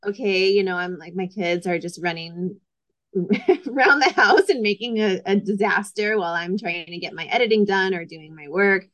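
A woman in her thirties from Connecticut, talking as a guest in a casual interview, relaxed and chatty with frequent ums. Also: mid-range voice, moderate pace, dry recording, muffled recording. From Connecticut, USA. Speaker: guest, photographer.

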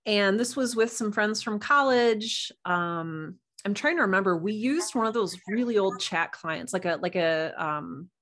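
A woman with a medium-pitched voice chats as an interview guest. She tells stories in a playful, conversational way, acting out little remarks as she goes. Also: a little slow, hardly any pauses, a bit bright. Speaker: guest author and podcast producer. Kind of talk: casual interview storytelling.

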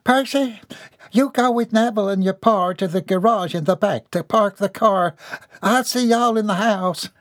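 A man's voice: sits high, normal speed, no room sound, studio quality, voice pushed up, close to an everyday voice. A man voicing a folksy hillbilly mother with a homespun, polite drawl in an audiobook story. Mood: motherly, polite.

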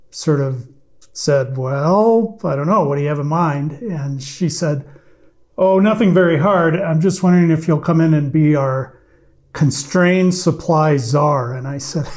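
A man, a podcast guest, speaking with a raspy voice from allergies and explaining things in a measured way with some fillers. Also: on the high side, a little mellow. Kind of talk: conversational interview answers.

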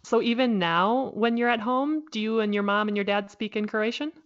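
A woman's voice: on the high side, steady tone, moderate pace, dull audio. A woman hosting a casual interview, asking friendly, curious questions. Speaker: podcast host.

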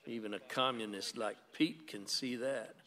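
An actor playing a stubborn, paranoid, devout older conservative husband who grumbles and speaks in emphatic slogans in a radio play. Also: male voice, mid-range voice, moderate pace, sharp tone, big-room sound, close to an everyday voice. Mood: stubborn, defensive.

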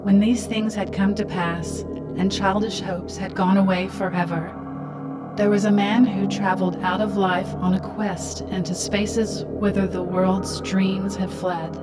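Radio play satellite, voiced by an actress: female, formal, measured recitation, very slow and flat, loud music underneath. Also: mid-range voice, close to an everyday voice. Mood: solemn reciting. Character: coolly formal satellite reciting logs and poetic prose. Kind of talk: radio drama acting.

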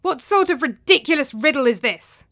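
A woman's voice: medium pitch, expressive, normal speed, a bit bright, lightly acted. A woman voicing an angry, brooding male tyrant of ancient Greece in a story, with a commanding, abrupt manner.

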